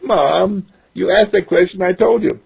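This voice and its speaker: A man voicing an earnest ten-year-old boy who is eager to help, speaking higher and somewhat whiny. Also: measured pace, gravelly, slightly rough, highs cut off, heavily acted.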